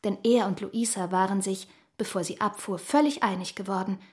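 A woman playing a chattering, snobbish young married gentlewoman reading out her letter in a period radio play, with emphatic delivery. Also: mid-range voice, steady tone, normal speed, natural voice. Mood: gossipy, emphatic.

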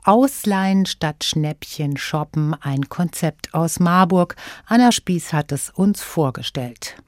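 Female studio anchor, lowish voice, dry studio sound, formal read. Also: expressive, a little mellow, dry recording. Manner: measured, formal. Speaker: news anchor. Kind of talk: scripted studio presentation.

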